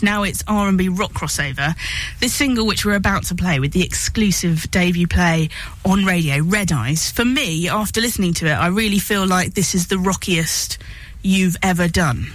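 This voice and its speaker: A woman hosting a radio show, interviewing in a conversational, enthusiastic way. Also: lowish voice. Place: radio studio.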